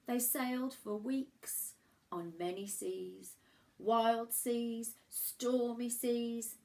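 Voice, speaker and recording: A woman reading a children's storybook aloud expressively, with dramatic emphasis and pauses for young listeners. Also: fairly high pitch, steady tone, dark tone. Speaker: primary school teacher reading a picture book aloud.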